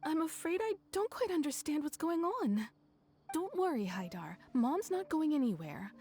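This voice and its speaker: A worried, tender mother in a game. Her voice is high and moves up and down as she soothes, with faint noise behind. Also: big pitch swings, moderate pace, a little mellow. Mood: tender reassurance. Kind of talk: video game voice acting.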